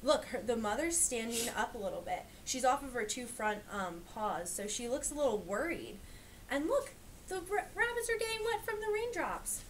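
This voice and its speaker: A woman reading a picture book aloud to young children, pausing to explain and point things out. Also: fairly high pitch, expressive, slight background noise, rough recording. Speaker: reader teaching young children.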